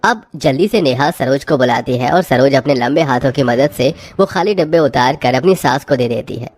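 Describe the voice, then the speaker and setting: A woman's voice: very deep voice, animated, normal speed, a little mellow. A woman narrator reading a scripted cartoon story.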